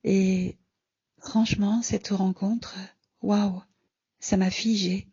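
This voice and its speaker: Husky, slow woman reading a personal story. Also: medium pitch, flat delivery, dark tone, no room sound, muffled recording. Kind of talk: written personal story read aloud.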